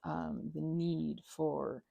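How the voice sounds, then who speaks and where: A woman's voice: deep voice, steady tone, extremely slow, creaky, mellow, no echo. A woman chatting casually and hesitantly in a meandering craft ASMR ramble, close to the mic. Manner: hesitant, meandering, self-interrupting. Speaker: host of a craft ASMR channel.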